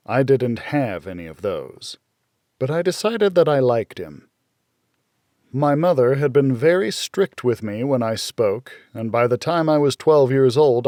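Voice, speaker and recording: A man reading first-person fiction as an audiobook narrator, in a measured, dramatic storytelling manner. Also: mid-range voice, a little mellow, dry recording, studio quality.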